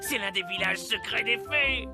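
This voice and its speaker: A man's voice: sits high, normal speed, gravelly, over music. A man voicing a talkative, greedy, boastful male gnome in a children's cartoon, gushing and pleased with himself. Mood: gossipy. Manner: blabbering, exuberant, self-satisfied. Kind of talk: French-dubbed children's cartoon acting.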